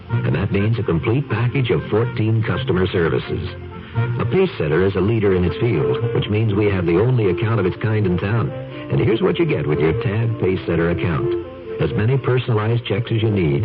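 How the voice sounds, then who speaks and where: A man reading a scripted 1970s radio commercial as an announcer. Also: lowish voice. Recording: dull old radio ad recording.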